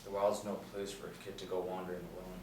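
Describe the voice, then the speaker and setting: An actor playing a gruff, guarded hunter in a script table reading, terse and folksy. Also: male voice, low-pitched, level tone, fast talker, natural voice. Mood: gruff, probing. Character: gruff hunter, guarded wilderness survivor, hardened man. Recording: roomy, distant mic, clearly noisy.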